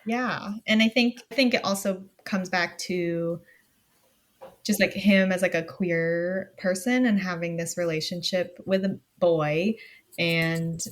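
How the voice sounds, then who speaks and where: A young woman from Pennsylvania co-hosting a book club podcast, talking in a relaxed, reflective way with frequent "like". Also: on the low side, slow. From Pennsylvania, USA. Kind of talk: casual chat.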